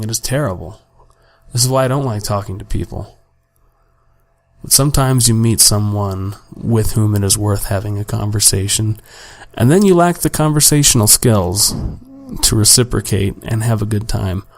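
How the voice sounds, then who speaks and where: A young man, slightly raspy from a long day of talking, hosting a solo podcast at home and rambling casually and profanely about his week, with plenty of self-deprecation. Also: on the low side, normal speed, darkish tone. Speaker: podcast host. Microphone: home desk microphone without pop filter.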